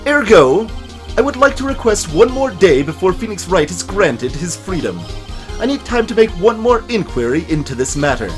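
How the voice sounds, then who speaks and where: A man's voice: high-pitched, sing-song, moderate pace. A man voicing a smug, haughty prosecutor in a courtroom game, with a formal, pompous manner. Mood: smug, objecting. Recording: music playing behind the voice.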